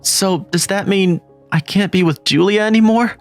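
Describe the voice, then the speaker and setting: An actor playing an earnest, eager teenage schoolboy who narrates and leads a manga voice-over. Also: male voice, high voice, normal speed, faint music behind. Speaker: narrator and lead.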